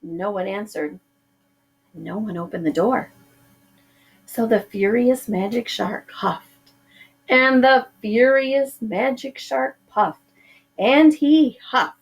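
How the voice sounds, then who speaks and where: A woman's voice: on the high side, husky, poor audio. A middle-aged woman teacher reading a picture book aloud to her pupils, drawing out words for effect.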